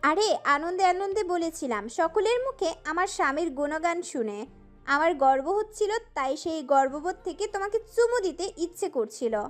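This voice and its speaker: A sweet, mischievous young wife, as a woman storyteller puts her on in an audio love story. Her voice sits very high and sounds playful and coaxing. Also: animated, moderate pace, faint music behind. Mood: sweet pleading.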